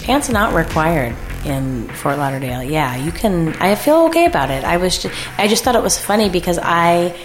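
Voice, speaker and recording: A woman with an American accent telling a casual, humorous anecdote in a halting way, often interrupting herself. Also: lowish voice, sing-song, music in the background. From US East Coast. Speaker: improv comedy troupe guest storyteller.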